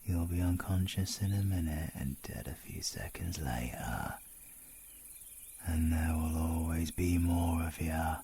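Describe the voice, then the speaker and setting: A man's voice: extremely low pitch, steady tone, unhurried, warm tone. A man with a British accent playing a menacing, cocky supervillain in an audio roleplay, taunting and swearing in slangy speech. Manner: taunting, slangy, sweary, often hissing in whispers. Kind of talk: ASMR audio roleplay acting.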